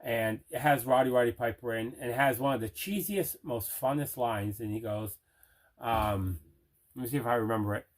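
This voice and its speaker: A man's voice: medium pitch, moderate pace. Middle-aged man showing off finds. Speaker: host of a movie collecting show. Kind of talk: casual unboxing show-and-tell.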